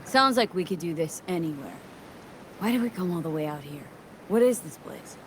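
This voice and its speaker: A woman's voice: on the low side, animated, moderate pace, a little hiss or hum, close to an everyday voice. A woman playing a brash, wry young female adventurer in a video game, speaking bluntly and casually. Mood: questioning. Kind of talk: naturalistic video game acting.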